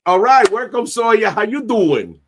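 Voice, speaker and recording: Fired-up new guy hosting his podcast, mid pitch, slips into spanish a lot. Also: a little slow, faint music behind. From New York. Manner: energetic, streetwise, peppered with Spanish. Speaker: podcast host. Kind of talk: casual interview chat.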